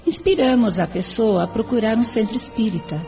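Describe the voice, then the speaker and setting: A woman's voice: a little high, a little fast, creaky, faint music behind. An actress with a Brazilian accent voicing a serene, devout female spirit helper who explains things patiently in an audio drama. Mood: serene, instructive. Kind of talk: audio drama acting in Portuguese. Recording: dull lo-fi audio.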